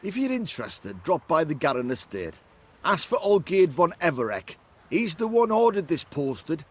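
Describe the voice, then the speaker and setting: Casting: eager common townsman, swooping highish voice, brisk everyday speech, fantasy game dialogue. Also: sing-song. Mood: eager, animated. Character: excitable townsman touting a monster-killing job.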